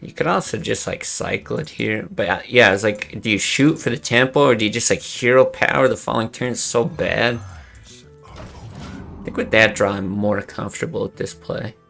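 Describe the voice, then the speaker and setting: Gravelly young man commenting on his game. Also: lowish voice, normal speed, a bit bright, soft background music. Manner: chatty, swears under breath, thinks aloud. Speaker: streamer. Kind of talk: casual gameplay commentary.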